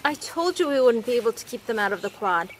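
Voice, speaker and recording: A woman's voice: fairly high pitch, lively intonation, fast talker, slight background noise, close to an everyday voice. An actress playing a caring, practical woman survivor in a naturalistic audio drama.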